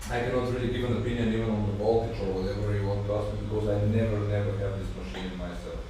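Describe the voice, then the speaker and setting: Bulgarian-accented man, tattoo artist, halting speech, lowish dark voice. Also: little pitch movement, a little hiss or hum. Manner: halting, many restarts and fillers. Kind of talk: live Q&A while tattooing. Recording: echoey room, distant mic.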